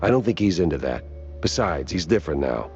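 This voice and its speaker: A very low, world-weary gumshoe from a noir cartoon game. He talks in a wry, laconic drawl, hardboiled 1930s style. The sound is dull and lo-fi, with music behind him. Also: very animated, fairly quick, darkish tone, heavily acted. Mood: dry, weary. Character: world-weary wisecracking male noir private detective, cartoon mouse.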